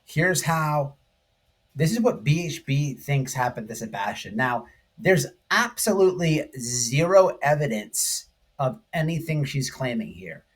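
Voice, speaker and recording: A man's voice: medium pitch, big pitch swings, unhurried. Emphatic man doing casual commentary. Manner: emphatic, slangy, repeatedly checks in with "right?". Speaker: YouTube commentary host. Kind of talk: informal true crime video commentary.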